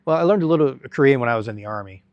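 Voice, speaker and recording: A middle-aged man telling his life story as an interview guest, halting and rambling with many restarts. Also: medium pitch, expressive, dry recording, highs cut off.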